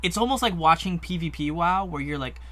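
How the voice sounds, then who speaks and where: Chatty man co-host, bright edge, higher pitch. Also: fairly quick. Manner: chatty, lively, lots of likes and ums. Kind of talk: casual podcast chat.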